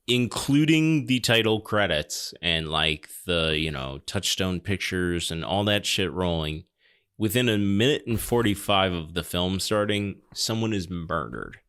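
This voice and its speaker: Low-voiced man chatting about films. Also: expressive, unhurried, fairly bright tone, smooth. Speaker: podcast host. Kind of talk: casual film discussion.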